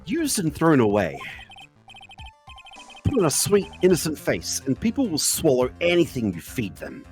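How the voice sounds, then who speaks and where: A man's voice: fairly high pitch, wildly swooping pitch, normal speed, a little mellow, faint music behind. A man voicing a smug, manipulative celebrity killer with a theatrical, condescending, mock-sweet drawl in a game fan dub. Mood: taunting, condescending. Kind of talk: live fan dub of a visual novel game.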